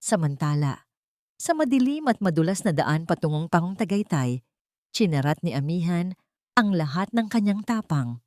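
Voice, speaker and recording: A woman narrating a dramatic audiobook story in a solemn, literary way, with short deliberate phrases. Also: on the low side, sing-song, a little mellow, no echo, studio quality.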